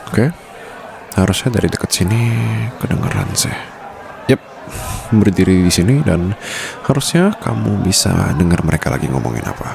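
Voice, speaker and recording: A man's voice: deep voice, big pitch swings, moderate pace, creaky, pauses often, background noise, exaggerated voice. A man playing a suave, protective mafia boss boyfriend in an intimate, teasing ASMR roleplay. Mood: teasing, calm.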